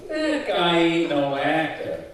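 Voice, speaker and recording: A man's voice: on the high side, sing-song. A man voicing a sinister talking prop, a brash, wisecracking manipulator in old time radio comedy style. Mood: scornful. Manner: brash, wisecracking, drawn-out emphasis. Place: community center stage, live show. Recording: echoey hall, distant stage mic.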